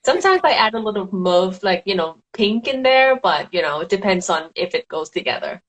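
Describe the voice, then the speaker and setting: A woman from Thailand with a Thai accent, a designer and paper florist chatting as an interview guest, full of fillers and quick laughs. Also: medium pitch, sing-song, fairly bright tone, poor audio. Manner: chatty, giggly, many fillers. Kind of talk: casual interview answers.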